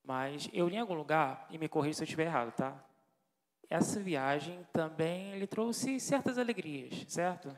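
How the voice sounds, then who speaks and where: A man acting as a devout interviewer with a slightly high voice, quick to answer every story with a murmured amen or praise. The long reverb of a church hall surrounds him. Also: expressive. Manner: devout, affirming, with frequent amens and praise. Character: devout male interviewer questioning the missionary. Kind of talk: staged interview, church theatre.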